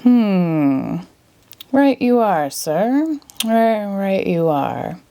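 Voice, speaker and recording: A woman voicing a cheerful, grandiose male stage magician in a storybook, with theatrical, drawn-out delivery. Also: mid-range voice, very animated, dark tone, clear, resonant tone, heavily acted. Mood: flustered.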